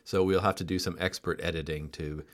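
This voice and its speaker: Casting: man, low register, informal podcast co-host in relaxed back-and-forth conversation. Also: a little fast. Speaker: podcast co-host, company co-founder. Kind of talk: casual podcast chat.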